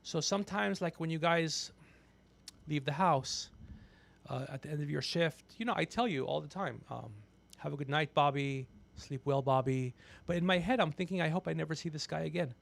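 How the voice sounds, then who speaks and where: Man, high pitch, heavy vocal fry, podcast host, casual banter, teasing, profane, excitable. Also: moderate pace.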